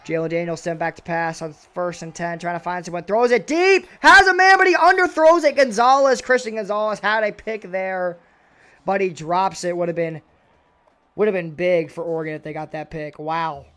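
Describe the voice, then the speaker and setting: A young man doing play-by-play commentary on a video game football match, excitable and a little raspy, his voice jumping on big plays. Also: normal speed. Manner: excitable, voice jumps high and loud on big plays.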